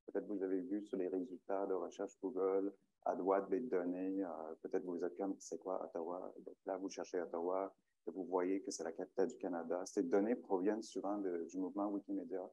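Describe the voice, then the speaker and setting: A man's voice: sits low, level tone, fairly quick, warm tone. A man from Michigan, a native English speaker, speaking French on a panel, hesitant and searching for words. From Michigan, United States. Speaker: panelist, librarian. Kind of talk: panel talk. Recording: dull, lo-fi sound.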